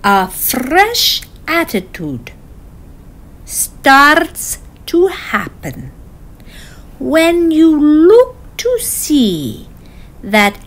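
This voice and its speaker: An eccentric woman fortune-teller, acted out by a woman, delivers her oracular forecast in a high voice that swings widely in pitch. She goes extremely slowly, with halting, drawn-out, repeated phrases. She stays serene and solemnly whimsical throughout. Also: wildly swooping pitch, fairly bright tone, heavily acted. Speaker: astrologer giving a forecast. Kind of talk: slow theatrical spoken forecast.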